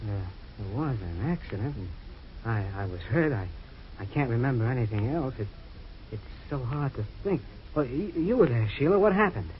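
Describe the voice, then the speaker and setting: A man's voice: medium pitch, lively intonation, normal speed, natural voice. Guy doing a nervous amnesiac husband, stammers a lot, old dull radio sound. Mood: anxious. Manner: stammering, anxious. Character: confused amnesiac husband, man. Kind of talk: 1940s radio drama acting. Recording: dull old radio broadcast with faint hiss.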